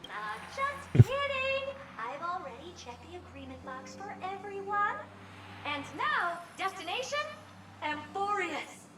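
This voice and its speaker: Very high, bubbly mirror sprite. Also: female voice, very animated, a little slow, background noise, heavily acted. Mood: playful, excited. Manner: showy, teasing, sing-song announcing. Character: bubbly, playful, cute childlike mirror launch guide. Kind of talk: animated game character acting. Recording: echoey, distant, music behind.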